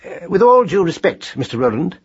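Man as learned male mouse, storybook character, pompous, wordy, gloomy, raised pitch, very animated intonation. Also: normal speed, breathy edge, muffled recording, exaggerated voice.

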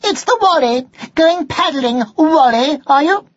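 A man's voice: very high voice, lively intonation, lo-fi sound, pitched above the performer's own voice. A man voicing sneering, bullying young cats who taunt their sibling in a children's storybook, drawing out the words. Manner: drawn-out sneering.

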